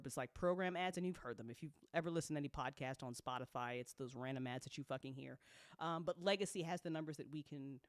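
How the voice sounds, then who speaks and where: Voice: mid-range voice, fairly bright tone, no echo. Candid podcast creator, dry close sound. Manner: frank, casual, swears freely. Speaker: audio drama creator and network host. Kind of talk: candid informal business update to listeners.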